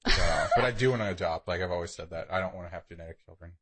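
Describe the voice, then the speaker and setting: A man's voice: deep voice, very fast, a bit bright, husky. A man co-hosting a podcast in a casual, rambling, informal way. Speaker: podcast co-host.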